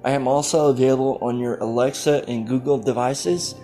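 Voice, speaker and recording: A man hosting a podcast casually, in halting short phrases. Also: medium pitch, fairly even intonation, dark tone, faint music behind. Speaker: podcast host.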